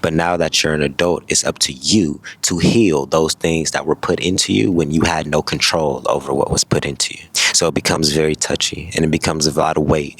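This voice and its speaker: A man in his twenties giving a motivational vlog talk, fast and rambling, often correcting himself mid-sentence. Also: a bass voice, fairly bright tone, husky, dry recording. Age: 20s. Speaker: vlogger.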